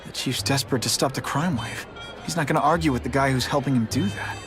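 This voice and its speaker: An actor playing a young male hero, wisecracking and energetic, who works as a part-time photographer. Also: mid-range voice, fast talker, music in the background, natural voice. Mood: quippy. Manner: quippy, energetic.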